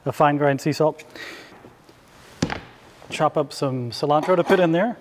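A man's voice: fairly high pitch, a little slow, background noise. A middle-aged man, a chef giving an informal live cooking demonstration in an easygoing, conversational way. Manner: easygoing, conversational, invites questions. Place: indoor hall before a small audience.

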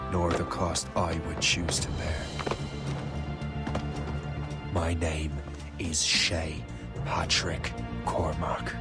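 An actor playing a grave, regretful Irish assassin recalling his youth in solemn, measured video game narration. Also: low-pitched. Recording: loud cinematic music behind.

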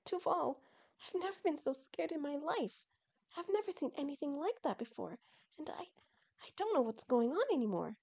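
An anxious, learned mother fox from a storybook, voiced by a woman reader. Her voice is high and mellow, with earnest feeling in the way she talks. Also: animated, moderate pace. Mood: shaken, hushed.

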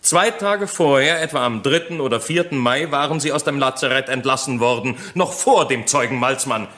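A male actor plays a shrewd defence lawyer in a crime radio drama. He speaks at a medium pitch and builds his case methodically, with formal, pointed questions and rhetorical jabs. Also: moderate pace, fairly bright tone, close to an everyday voice. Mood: triumphant, pointed. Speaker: actor in a courtroom radio play.

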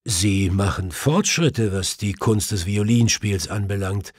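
A man voicing a proper, easily affronted gentleman companion with a formal, fussy manner. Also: fairly low pitch, measured pace, breathy edge, dry recording, studio quality. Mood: polite, questioning.

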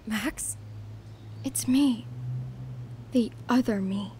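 A woman playing a gentle, tearful young woman who speaks haltingly in a fan-made audio drama. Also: fairly high pitch, slight background noise. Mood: tentative. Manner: hesitant, halting.

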